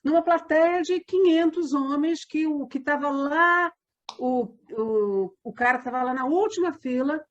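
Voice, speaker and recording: A woman's voice: high voice, a little slow, lo-fi sound. A Brazilian woman, a theatre company member, explaining her work in a conversational interview.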